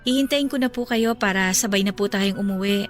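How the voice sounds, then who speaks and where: An actress playing a caring grown daughter in a Filipino radio-style drama, speaking in a gentle, respectful, earnest and consoling way. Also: female voice, medium pitch, brisk, soft background music, close to an everyday voice. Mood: gentle reassurance.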